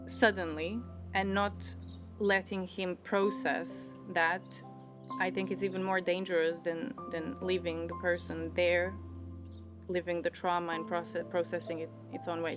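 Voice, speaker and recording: Reflective Serbian woman over music. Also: mid-range voice. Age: 30s. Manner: reflective, thoughtful, with frequent restarts and soft laughs. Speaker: interviewee. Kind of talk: personal storytelling.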